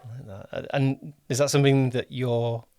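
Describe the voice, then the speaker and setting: A man's voice: medium pitch, fairly even intonation, normal speed, warm tone, no echo. A man hosting a casual interview, rephrasing his questions conversationally as he goes. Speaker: podcast host and producer.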